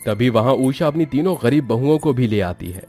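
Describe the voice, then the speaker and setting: A man's voice: mid-range voice, expressive, brisk, warm tone, soft background music. A man narrating a scripted moral story.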